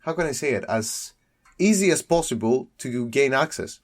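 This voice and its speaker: A young man from Greece, speaking at an ordinary mid pitch. He rambles through his explanation, restarting sentences and breaking into laughter as he goes. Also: animated, normal speed. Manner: rambling, self-correcting, laughs often. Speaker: guest deck builder. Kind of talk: casual chat about a card game.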